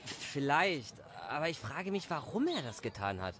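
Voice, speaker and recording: A hot-headed village husband from an audio drama, acted by a man, blunt and sputtering. His voice is high and swoops with indignation. Some background noise sits behind it. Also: very animated, normal speed. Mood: puzzled, skeptical. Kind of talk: animated audio drama acting.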